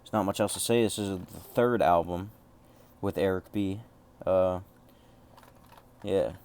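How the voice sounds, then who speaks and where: Low-voiced man rambling casually. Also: measured pace, darkish tone. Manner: rambling, profane, offhand. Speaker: music collector showing off cassette tapes. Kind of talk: casual rambling collection showcase.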